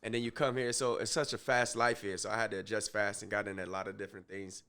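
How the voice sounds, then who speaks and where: A man's voice: lowish voice, little pitch movement, quick pace, sharp tone, clear, resonant tone. A man in his twenties with an American accent, chatting as a guest in a casual interview, easygoing and slangy. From grew up in Germany, American family. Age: 20s. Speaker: rapper, podcast guest.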